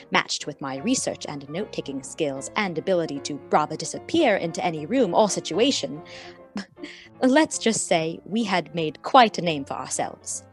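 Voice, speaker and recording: A woman playing a devoted, discreet detective's companion, narrating a radio mystery in a formal, precise, confiding way. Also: sits low. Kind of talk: scripted radio play narration in character.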